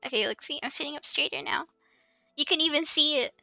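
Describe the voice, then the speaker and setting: A woman's voice: high voice, fast talker, bright, forceful tone, dry recording. A woman livestreaming a game in casual chat, playful and giggly as she comments and reads out dialogue. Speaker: livestream host playing an online game.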